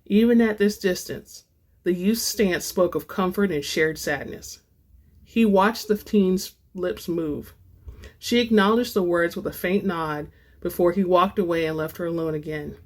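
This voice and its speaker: A woman hosting a dramatic book reading, moving between informal, expressive chat and narration. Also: on the low side, unhurried. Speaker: host and reader of book samples.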